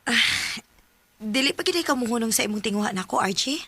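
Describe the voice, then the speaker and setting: A woman playing a bright, firm young student. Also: a little high, animated, fairly quick, husky, no echo, full character voice. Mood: gentle. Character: young female student rejecting a suitor. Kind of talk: amateur radio drama acting.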